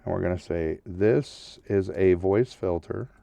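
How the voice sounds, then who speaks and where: A man with a lowish, gravelly voice walks viewers through a tutorial in an informal, step-by-step way. He checks in often as he goes. The sound is dry, with the voice sitting a little away from the mic. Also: a little slow, dark tone, no room sound, far from the mic. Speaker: tutorial host. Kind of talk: casual screen-share tutorial, narrating steps as he goes.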